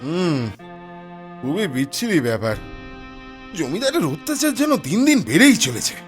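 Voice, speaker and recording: Man playing a hearty cartoon farmer, high-pitched, very lively intonation, exclamatory, welcoming. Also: moderate pace, music in the background. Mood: concerned. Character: hearty, helpful village farmer and family man. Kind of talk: Bengali cartoon dubbing.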